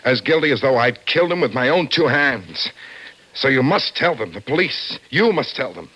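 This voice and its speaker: Frantic, guilt-ridden young murderer. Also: male voice, mid-range voice, very animated, normal speed, bright, forceful tone, breathy edge, no room sound, muffled recording, heavily acted. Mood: desperate, insistent. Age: young adult. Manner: frantic, stammering, repeating himself. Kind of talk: mid-century radio drama acting.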